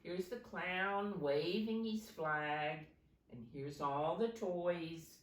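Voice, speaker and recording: A woman's voice: lowish voice, very slow. A woman reading a storybook aloud expressively to young children, with simple explanatory asides. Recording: roomy, recorded from a distance.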